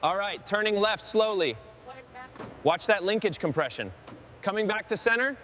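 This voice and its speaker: A man's voice: high voice, lively intonation, normal speed, background noise. A man working on a truck restoration, giving terse, clipped instructions. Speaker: restoration worker. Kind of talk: scripted workshop dialogue.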